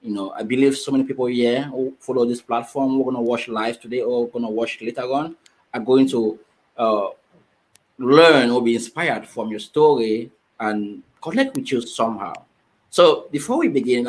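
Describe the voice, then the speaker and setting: A man's voice: medium pitch, low-quality recording. A man hosting an online interview show, friendly and prone to repeating words and restarting. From Finland. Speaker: show host.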